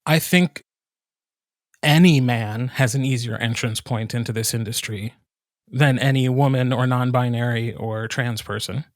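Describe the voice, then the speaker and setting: A man's voice: medium pitch, a little slow, dry recording. Frank, thoughtful man on a dry mic. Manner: thoughtful, frank, speaks of his own voice. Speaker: audiobook narrator, podcast co-host. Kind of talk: casual roundtable discussion.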